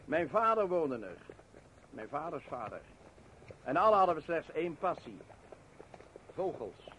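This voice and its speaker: An actor playing a humble bird expert of the mountains in a radio drama. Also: male voice, fairly high pitch, big pitch swings, measured pace, fairly bright tone, slight background noise, low-quality recording. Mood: earnest.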